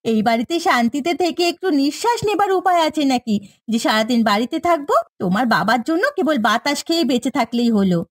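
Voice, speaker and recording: Woman acting exasperated daughter-in-law, high voice, lively intonation, complaining, cartoon dub, light music behind. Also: fast. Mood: indignant complaining. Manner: complaining, animated. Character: put-upon, exasperated housewife and daughter-in-law. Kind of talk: Bengali moral-story cartoon dubbing.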